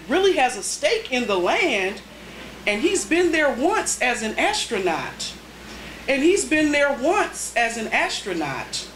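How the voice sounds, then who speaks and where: Woman poet, high-pitched voice, very expressive swings, dramatic phrasing, live room recording. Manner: deliberate, dramatic phrasing with pauses between short phrases. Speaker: poet, featured reader at a poetry reading. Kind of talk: live spoken-word poetry reading. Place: indoors at a cafe poetry reading. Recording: roomy live cafe recording, faint background noise.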